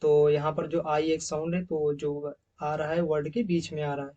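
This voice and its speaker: A man teaching English phonetics over slides, repeating each example word several times in a loud, drawn-out way. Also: on the high side, level tone, muffled recording. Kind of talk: slide-based phonetics lesson.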